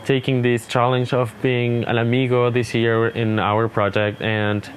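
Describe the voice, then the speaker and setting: A young man from Uruguay introducing himself as project director, friendly and halting, speaking outdoors into a lapel mic. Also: mid-range voice, level tone, a little hiss or hum. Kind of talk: short staff introduction to camera. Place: outdoors beside a house, wooded yard.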